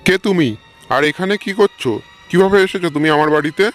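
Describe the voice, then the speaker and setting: A man's voice: a little high, lively intonation, moderate pace, fairly bright tone, soft background music. A man voicing a humble, hard-pressed village farmer in a cartoon, plain and earnest. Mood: startled.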